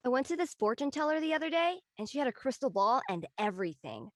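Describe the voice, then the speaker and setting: A woman playing an earnest, defensive high school girl in a casual script reading. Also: big pitch swings, fast.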